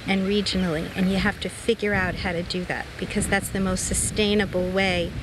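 A woman's voice: mid-range voice, little pitch movement, fairly quick, noisy background. A woman giving an explanation in an interview about her work.

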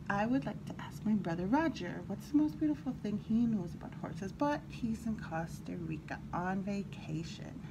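A woman storyteller reading a children's picture book aloud in an animated, expressive way, with brief asides. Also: lowish voice. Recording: clearly audible background noise.

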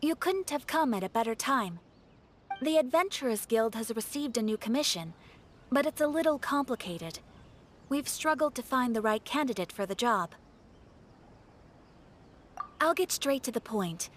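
A woman's voice: a little high, expressive, moderate pace, pauses often, a little hiss or hum, lightly acted. A woman voicing a polite, businesslike young guild receptionist in a video game, composed and formal. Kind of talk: scripted video game dialogue.

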